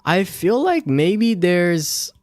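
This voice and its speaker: A man's voice: sits high, sing-song, drawn-out words, a little mellow, dry recording, studio quality, faint music behind. A man commentating casually to himself as he streams a visual novel and reads game text aloud.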